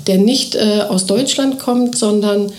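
A woman who runs a kindergarten, answering radio interview questions eagerly and fluently, often filling pauses with short hesitation sounds. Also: medium pitch. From Thuringia, Germany. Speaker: kindergarten director. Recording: echoey room.

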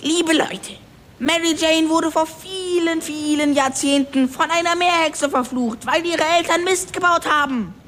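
A grumpy little ship's goblin from a seafaring audio tale, played by a woman. The voice sits very high with a sharp, forceful edge. He scolds and explains with exasperated emphasis, like the only one who knows what is going on. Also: unhurried, a little hiss or hum. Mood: lecturing. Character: grumpy, furious little male ship's kobold, scolding know-it-all. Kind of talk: acted German audio story.

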